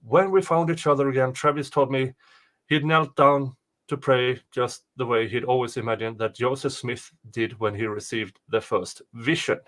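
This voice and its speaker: Bright, forceful man reading aloud. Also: mid-range voice, fairly even intonation, moderate pace. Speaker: podcast co-host reading a document aloud. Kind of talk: reading aloud with casual chat.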